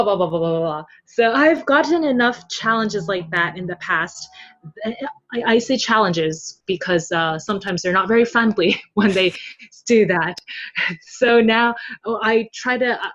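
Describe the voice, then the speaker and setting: A chatty woman speaking casually as an interview guest, quick to laugh, explaining her work as a translator. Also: mid-range voice, expressive, fairly bright tone, dull audio. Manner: chatty, laughs readily while talking. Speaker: podcast guest, web novel translator.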